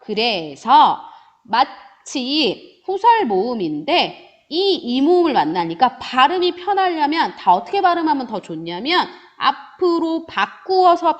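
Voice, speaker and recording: A woman's voice: sits high, measured pace. A woman teaching a recorded grammar lesson, asking and answering her own questions in a rising and falling teacherly tone. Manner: lively, rising and falling teacherly sing-song, voices student questions herself. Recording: dull lo-fi recording.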